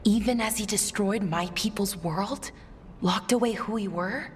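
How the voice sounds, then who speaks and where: A woman's voice: medium pitch, a little slow, in a large hall, slight background noise, natural voice. A woman playing a thoughtful elf scholar in a fantasy game who grieves for her people. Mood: pained.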